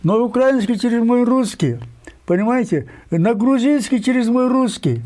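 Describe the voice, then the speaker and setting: An older man from Kyrgyzstan speaks as an interview guest, his voice sitting unusually high for a man. He presses his points insistently, wandering off on tangents and filling pauses with hesitations. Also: animated, warm tone. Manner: insistent, digressive, many pauses and fillers. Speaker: guest writer. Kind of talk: emphatic interview talk.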